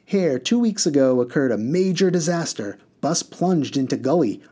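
A man's voice: on the high side, animated, moderate pace, warm tone, pitched above the performer's own voice. A man voicing a reckless, gleeful male mail coach driver who bellows and rambles in a singsong way.

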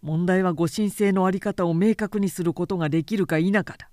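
A woman voicing a resolute, principled samurai man in an audiobook, speaking in a firm, quiet, deliberate and formal way. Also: lowish voice, fairly even intonation, no room sound, clean studio sound, close to an everyday voice. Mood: solemn resolve. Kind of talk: dramatic audiobook character reading.

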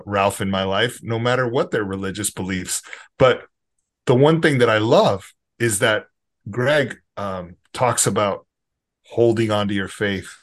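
Guy hosting a faith podcast, kinda low voice, rambles earnestly. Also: a bit bright. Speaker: podcast host. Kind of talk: casual faith discussion.

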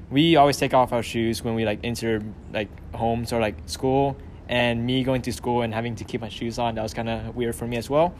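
A teenage boy from Vietnam talks as a podcast guest, his voice sitting at an ordinary middle pitch. He speaks casually and easily, with everyday fillers. Faint noise hums in the background. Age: teens. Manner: relaxed, conversational, uses "you know" and "like". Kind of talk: casual interview answers.

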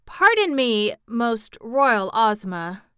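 A woman's voice: a little high, sing-song, takes it slowly, a bit bright, clean tone, no echo, clean studio sound, heavily acted. A woman voicing a cowardly, overly polite male general in a storybook. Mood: fussy.

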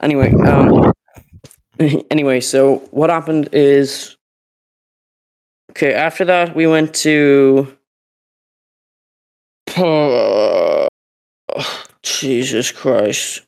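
A man's voice: medium pitch, very slow, vocal fry, pauses often, darkish tone, poor audio. A young man with a cold, telling a story in a casual podcast chat, rambling with many fillers and restarts. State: sick, sweating. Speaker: podcast co-host.

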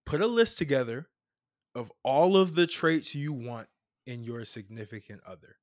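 A man's voice: mid-range voice, animated, moderate pace. A man from Brooklyn, a podcast guest who coaches, giving casual advice in an emphatic way and repeating phrases for effect. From Brooklyn, New York.

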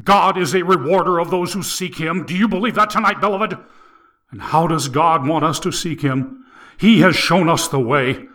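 A man playing a fervent revival preacher in an audiobook, declaiming in a booming voice to a huge congregation. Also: moderate pace, pushed and bright. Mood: fiery exhortation. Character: booming, fiery male revival preacher working a huge crowd. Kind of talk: evangelical sermon acting in an audiobook.

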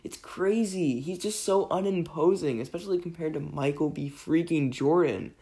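A podcaster with a raspy voice, under the weather, rambling through a self-deprecating, jokingly exasperated film rant. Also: mid-range voice, normal speed, warm tone. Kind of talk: casual rambling film commentary.

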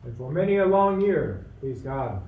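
An actor playing a fussy, anxious, obsequious royal courtier in a radio play, speaking with smooth formality. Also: male voice, medium pitch, very animated, moderate pace, phone-quality sound. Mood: fawning. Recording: roomy, distant mic, faint noise.

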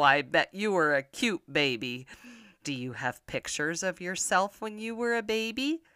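An older woman telling Bible stories to young children in an expressive manner, often putting simple questions to the listener. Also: deep voice, clean tone, no echo, clean studio sound. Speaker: children's podcast storyteller.

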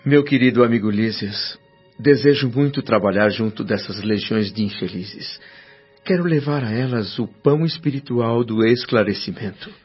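An actor playing a humble, earnest doctor in the spirit world, speaking formally and courteously in a radio drama. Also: male voice, medium pitch, moderate pace, lightly acted. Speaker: lead actor and first-person narrator. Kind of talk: radio drama acting and reflective narration. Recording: dull lo-fi radio drama with music. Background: music.

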